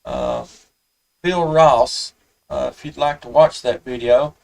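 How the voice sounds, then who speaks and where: A man with a Southern US accent chatting casually as a ham radio operator, in a folksy, halting way. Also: medium pitch, creaky, a little mellow, far from the mic. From Tennessee, United States. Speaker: amateur radio operator, live streamer.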